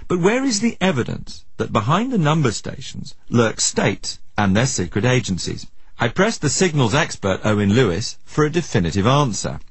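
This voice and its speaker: Animated, husky man presenting a documentary. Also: medium pitch, moderate pace, dry recording. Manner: wry, inquisitive. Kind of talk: scripted radio narration and probing interviews.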